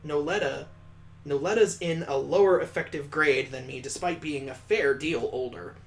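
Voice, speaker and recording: A man's voice: fairly high pitch, moderate pace, faint music behind. A man reading a visual novel aloud as a let's play host, adding sarcastic, playful asides.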